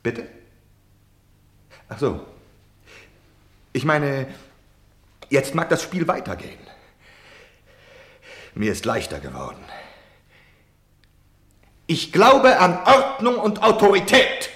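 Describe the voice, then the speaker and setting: Defiant, wildly dynamic German ideologue. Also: male voice, a little high, wildly swooping pitch, slow pace, long pauses, reverberant, exaggerated voice. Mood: scornful. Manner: theatrical, declamatory, swinging from hushed confiding to shouting. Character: defiant self-justifying German man, former Hitler Youth member. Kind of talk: postwar German radio play acting.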